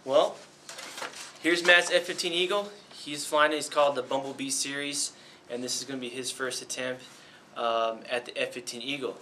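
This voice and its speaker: A young man hosting a casual desk interview as a flying instructor, relaxed and encouraging, with lots of ums and uhs. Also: medium pitch, moderate pace, slight background noise. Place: small indoor studio set at a desk.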